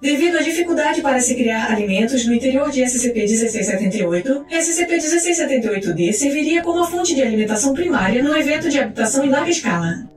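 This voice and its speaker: A woman narrator reading scripted case files in a formal, clinical, measured manner. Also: high-pitched, lively intonation. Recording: roomy echo with music underneath. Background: music.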